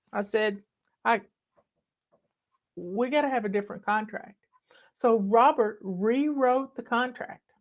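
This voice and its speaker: An older woman from the American South talks to her online followers in a thick Southern accent. She tells stories in a folksy, chatty way, calling people "y'all" and owning up cheerfully to being bossy. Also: fairly high pitch. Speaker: online host. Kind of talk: casual storytelling chat. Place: home in the mountains.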